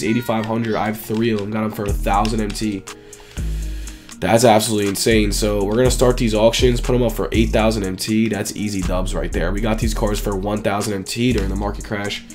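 A man in his twenties with a raspy, dry, flu-sick voice, giving casual, slangy gaming video commentary as a YouTube host. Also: fairly low pitch, fairly even intonation, a little fast, a little mellow, soft background music. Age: 20s. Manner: slangy, informal, repetitive emphasis. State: sick with flu, sore throat, coughing.

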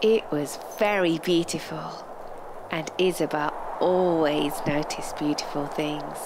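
A young woman, a teacher, reading a picture book to children in expressive, deliberate storytelling narration. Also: low voice, background noise. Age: young adult. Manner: measured, deliberate storytelling delivery with pauses. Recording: music playing behind the voice. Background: clear background music.

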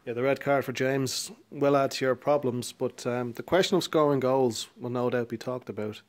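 A man in a club TV interview, his voice sitting at a middle pitch. He puts polite, measured questions into a handheld mic, now and then restarting a phrase. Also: fairly even intonation. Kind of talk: post-match interview questions.

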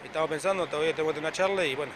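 A man's voice: a little high, rapid-fire. A man in his forties with an Argentine Spanish accent, a club president talking casually into a handheld mic outdoors, with lots of fillers and restarts. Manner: chatty, many "eh" fillers and restarts. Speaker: club president, event organizer. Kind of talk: casual on-camera interview. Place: outdoors by a beach, in front of hedges. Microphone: handheld reporter mic close below chin. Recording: clear background noise outdoors.

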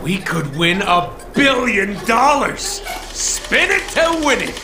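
Manic high-pitched wisecracking mercenary. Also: male voice, big pitch swings, slow, full character voice. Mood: excited. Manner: hyperactive, sarcastic, exclamatory. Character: manic, wisecracking masked antihero mercenary, childish and cocky. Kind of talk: video game cutscene acting. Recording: game music behind the voice.

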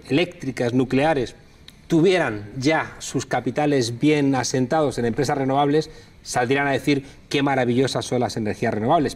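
A man's voice: medium pitch, a little mellow. A middle-aged man hosting a TV studio interview, asking conversational, leading questions. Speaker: TV interview host. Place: TV studio desk.

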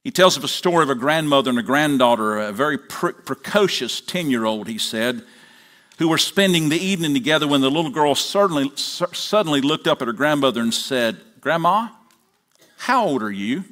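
A man in his fifties with an American accent, preaching as a pastor in a deliberate, halting way with pauses. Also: medium pitch, lively intonation, a bit bright. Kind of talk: sermon with prayer and storytelling. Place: large church auditorium, on stage at a lectern.

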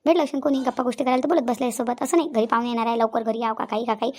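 A woman's voice: on the high side, steady tone, racing, lightly acted. A woman voicing a quarrelsome, nagging village wife and mother in a comedy cartoon, with a rural Vidarbha Marathi accent, scolding and repeating her complaints. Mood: scolding, aggrieved. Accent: rural Maharashtrian, Vidarbha.

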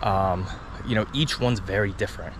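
A man's voice: sits low, moderate pace, rough recording. A man in his thirties giving a casual, chatty walking tour outdoors, filmed on a handheld camera at arm's length, with plenty of ums and you-knows. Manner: casual, chatty, many fillers. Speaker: travel vlogger. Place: outdoors on small-town streets. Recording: outdoor street noise behind.

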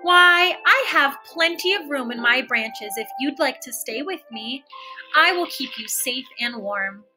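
A woman's voice: high-pitched, lively intonation, unhurried, music in the background, low-quality recording. A woman voicing a kind, smiling male fir tree in a storybook, welcoming and protective.

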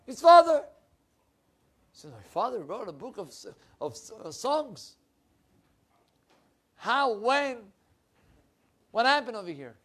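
A young man, a rabbi giving an animated Torah class, his voice swinging between loud bursts and low asides. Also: slow pace, long pauses. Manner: animated, with big swings in pitch and loudness and lively hand gestures. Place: wood-panelled room with bookshelves. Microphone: lapel.

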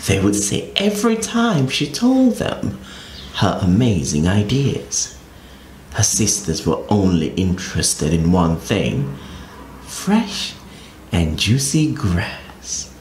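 Man storyteller for kids, very animated read-aloud, unhurried delivery, echoey room with faint hiss. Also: on the low side. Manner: expressive, very animated storybook reading. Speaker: children's storyteller. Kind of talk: reading a picture book aloud to children.

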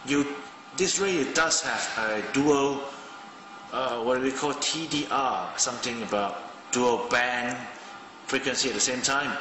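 Man in his thirties, chatty home reviewer who thinks aloud; echoey room, clear music underneath. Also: medium pitch. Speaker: gadget reviewer. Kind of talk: casual product review. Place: indoors at a home desk. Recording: roomy with long reverb, music behind.

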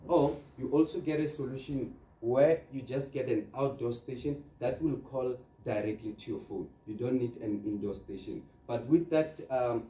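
A man's voice: medium pitch, moderate pace, few pauses. A man giving an informal training presentation on products, explaining step by step with everyday scenarios. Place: indoor presentation room with large screen. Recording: dark, echoey, distant room recording with noise.

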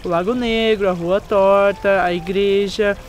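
A woman's voice: medium pitch, measured pace, dull audio, slight background noise. Young Brazilian woman guiding a travel vlog. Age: young adult. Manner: calm, descriptive, guiding tone. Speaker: travel vlogger. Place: outdoors on a windy overlook and city streets. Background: background music.

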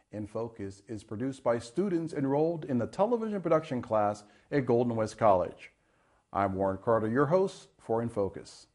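A man's voice: medium pitch, a little mellow. A middle-aged man hosting a TV studio interview, who stumbles and repeats words when he asks questions. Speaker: TV host. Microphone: lapel.

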